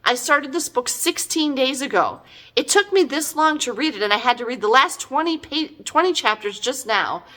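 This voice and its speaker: A woman's voice: high-pitched, lively intonation, normal speed, pushed and bright. A middle-aged woman giving a casual, chatty book review in an exasperated, emphatic, conversational way.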